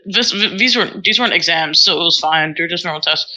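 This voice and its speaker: A woman's voice: on the low side, quick pace, bright, forceful tone, poor audio. A teenage girl from Singapore, co-hosting a casual podcast and telling rambling, self-mocking stories full of fillers. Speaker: podcast co-host. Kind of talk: casual chat.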